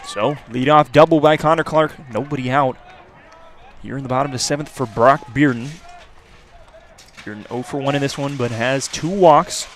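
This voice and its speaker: A man's voice: mid-range voice, normal speed. A man calling a college baseball game live as a play-by-play radio announcer.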